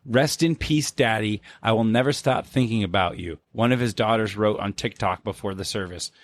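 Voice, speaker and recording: A man's voice: lowish voice, normal speed, no room sound. A man co-hosting a podcast in casual banter, laid-back and profane, with lots of filler words. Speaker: podcast co-host.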